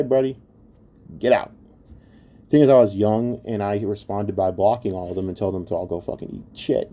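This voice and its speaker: Mellow, low-voiced man ranting bluntly. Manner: blunt, heavy swearing; exasperated, scornful. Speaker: online commentator. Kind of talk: opinionated rant commentary.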